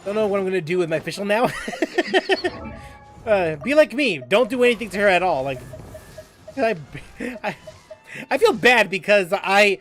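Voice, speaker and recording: High-voiced man chatting on a gaming stream. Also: lively intonation, fairly bright tone, soft background music. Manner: halting, repeats and restarts phrases. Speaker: livestream host, gamer. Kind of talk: casual livestream chat while gaming.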